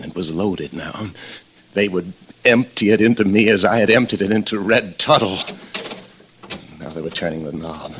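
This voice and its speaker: An actor playing a desperate killer in hiding who fears his wife, in halting, theatrical 1940s radio suspense style. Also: sits low, background noise, close to an everyday voice. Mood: grim dread. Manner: theatrical, halting, repeats words in dread. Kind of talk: 1940s radio drama acting, first-person suspense narration. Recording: old radio recording with organ music.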